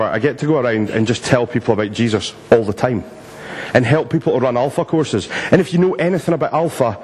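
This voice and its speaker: A man's voice: mid-range voice, a little hiss or hum. A Scottish man giving an informal, jokey talk to a church audience, poking fun at himself with lots of ums. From Scotland. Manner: jokey, self-mocking, many filler ums. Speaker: speaker at a church youth event. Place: church hall. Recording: long reverb of a large hall.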